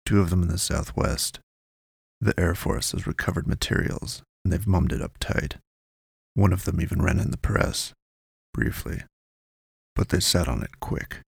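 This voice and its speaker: A secretive male informant from a noir audio story voiced by a narrator. He talks low and gravelly, hushed and grave in old slang, close and dry. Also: sing-song, moderate pace, no room sound, full character voice.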